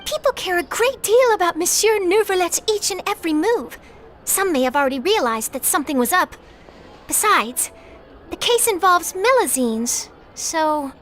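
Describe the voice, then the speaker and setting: Chatty young game journalist girl, high youthful voice, bouncy pitch, persuasive. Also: normal speed, slight background noise. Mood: confiding. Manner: animated, persuasive. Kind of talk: English video game voice acting. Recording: soft game music behind.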